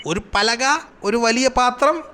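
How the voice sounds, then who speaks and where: A man voicing a cunning, grumbling trickster animal in a cartoon comedy, animated and full of schemes. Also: very high-pitched, slow, clear, resonant tone, exaggerated voice. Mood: scheming, smug. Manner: animated, exasperated, explains schemes with sound words. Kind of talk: Malayalam children's cartoon comedy acting.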